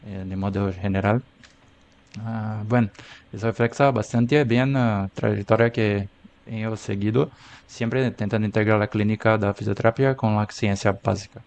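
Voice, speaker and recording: Brazilian guy speaking spanish as a second language, slightly low voice, lots of ahs. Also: a little mellow. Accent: Brazilian Portuguese. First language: Portuguese. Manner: careful, with frequent "ah" fillers. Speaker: physiotherapy researcher, guest. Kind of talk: interview answers.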